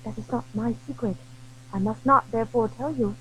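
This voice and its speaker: A woman's voice: a little high, a little fast, pushed and bright, slight background noise, rough recording. A woman acting a charming, earnest young wife in a period audio drama, formal and pleading in manner. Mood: earnest, guarded. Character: charming young wife, about twenty-five, earnest and secretive.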